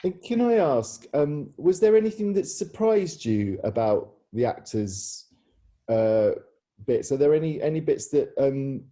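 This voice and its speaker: Hesitant man with a very mellow, soft-edged voice. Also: mid-range voice, animated, unhurried. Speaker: theatre director hosting a Q&A. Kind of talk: informal interview chat. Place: indoors on a video call. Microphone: video call microphone.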